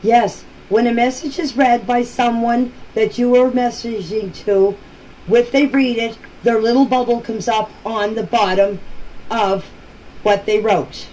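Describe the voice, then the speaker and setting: A woman leaving informal voice messages for a group chat, explaining things in a halting, repetitive way. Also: fairly high pitch, fairly even intonation, a little mellow. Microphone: phone. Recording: noisy phone voice clips.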